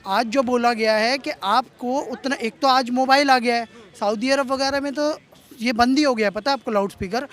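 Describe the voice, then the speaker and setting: A man's voice: extremely high pitch, moderate pace, a bit bright. A man in an outdoor street debate, explaining his case at length and argumentatively, mixing in English words. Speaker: street interviewee.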